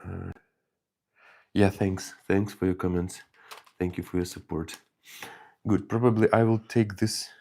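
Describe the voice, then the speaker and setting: A deep, gravelly man with a Ukrainian accent talks slowly and softly as he paints, thinking aloud in a halting way. His mellow voice drifts through a relaxed hobby stream. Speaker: miniature painting channel host. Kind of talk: casual live painting chat.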